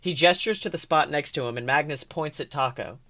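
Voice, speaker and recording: A man's voice: a little high, brisk, fairly bright tone. A man hosting a podcast, narrating fan fiction aloud with expression. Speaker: podcast host.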